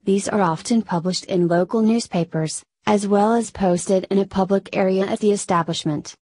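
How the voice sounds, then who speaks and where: A woman reading a news article aloud in a steady, scripted delivery. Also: medium pitch, normal speed, no echo. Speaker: news reader.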